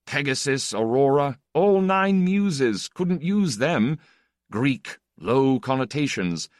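Flippant high-pitched worldly count, acted by a man. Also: big pitch swings, slow, no echo, studio quality. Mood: amused gossip. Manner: flamboyant, urbane, teasing. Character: flippant, ironic, self-assured worldly male count. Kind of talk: audiobook character dialogue.

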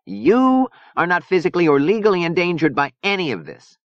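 Tense, high-pitched teenage boy voiced by a man. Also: wildly swooping pitch, fairly quick, dry recording, lo-fi sound. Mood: tense, reasoning. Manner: formal, precise, tense. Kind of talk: audiobook character dialogue.

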